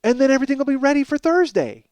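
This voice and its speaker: A man hosting a podcast, telling a casual, profane comic story in an animated, exaggerating way and acting out both sides of conversations. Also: very high-pitched, racing, no room sound. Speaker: podcast host.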